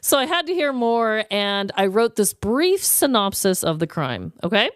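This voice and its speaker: A woman's voice: medium pitch, big pitch swings, normal speed, clear, resonant tone, dry recording, studio quality. A woman co-hosting a comedy podcast, chatting casually in a playful, slangy, giggly manner. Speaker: podcast co-host.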